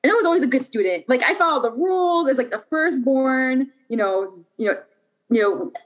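A woman's voice: high-pitched, lively intonation, fairly quick. A young adult woman from Puerto Rico, a podcast guest telling her life story in a casual, chatty way with lots of fillers and restarts.

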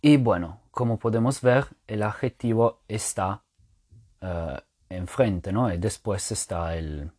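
A man's voice: fairly low pitch, a little mellow, slightly rough. A man whose first language is French, giving a casual spoken language lesson, thinking aloud with many fillers and restarts as he explains patiently. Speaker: language teacher podcaster.

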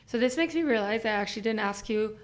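A woman's voice: on the high side, expressive, fast talker. A woman from Belgium, who also grew up in Germany, interviewing a guest with short, curious follow-up questions. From Belgium, grew up partly in Germany. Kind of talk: conversational interview.

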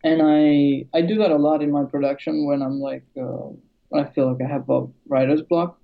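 A man from Albania chats about his music-production work in a gravelly, somewhat higher voice. He talks hesitantly, with many fillers and restarts. The recording sounds dull and lo-fi. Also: little pitch movement, moderate pace. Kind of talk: casual conversation.

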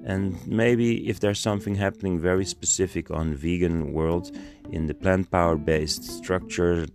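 A Dutch man hosting a podcast, speaking slowly in halting English with many pauses. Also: deep voice, fairly even intonation, darkish tone, soft background music. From Netherlands. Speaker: podcast host. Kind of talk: casual podcast talk and street interview. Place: outdoors at a city square monument.

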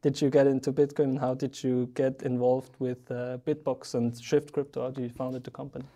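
A man's voice: mid-range voice, level tone, moderate pace, dark tone. A man hosting a podcast in a studio, interviewing in an easygoing, conversational way. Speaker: podcast host. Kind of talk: casual interview.

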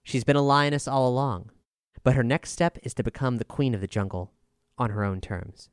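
A man with a smooth voice narrating a scripted music review as a voice-over. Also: mid-range voice, normal speed.

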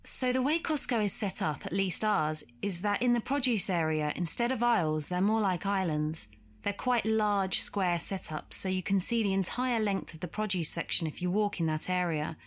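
A woman's voice: mid-range voice, expressive, normal speed. A woman reading a scripted first-person story as a narrator. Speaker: guest reader of listener stories.

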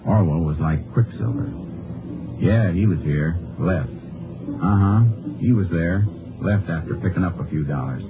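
Texas Ranger: low, American, laconic, folksy, unruffled and shrewd. Vintage radio Western sound, narrow band, music under. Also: male voice, measured pace. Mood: matter-of-fact narrating. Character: calm, shrewd male Texas Ranger posing as a drifter. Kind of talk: 1950s radio drama acting with first-person narration. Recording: muffled old-time radio recording with music.

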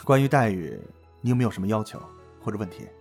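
A man's voice: on the low side, lively intonation, quick pace, a little mellow, soft background music. An actor playing a cool, exacting male office supervisor in an audio drama, curt and authoritative. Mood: cool, probing. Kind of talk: Chinese audio drama acting.

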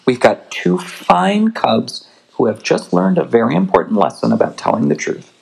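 A storybook reader voicing a gentle, wise mother bear who talks softly and earnestly. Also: mid-range voice, huge pitch swings, normal speed, gravelly, breathy edge, heavily acted, low-quality recording. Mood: bright, reassuring. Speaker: storybook character voiced by the reader. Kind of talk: storybook reading aloud.

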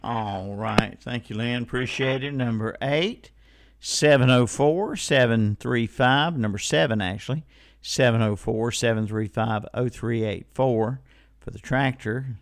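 A man's voice: mid-range voice, unhurried. A man hosting a casual call-in swap show on local radio, laid-back, repeating details back to callers.

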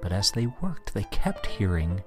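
Animated male storyteller with music behind. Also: lowish voice, measured pace. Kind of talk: scripted spooky story narration.

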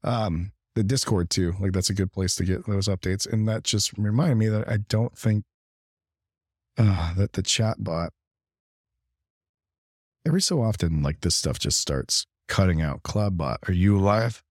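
Deep, husky man losing his voice. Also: darkish tone, dry recording, studio quality. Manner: halting, rambling. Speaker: livestream and podcast co-host. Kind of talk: casual gaming talk.

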